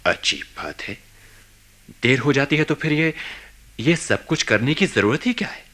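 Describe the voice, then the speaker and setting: Brooding, slow-spoken husband. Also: male voice, mid-range voice, sing-song, fairly bright tone. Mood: irritated. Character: brooding, restless, bored husband confiding in his diary. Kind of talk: radio drama acting, introspective narration and dialogue.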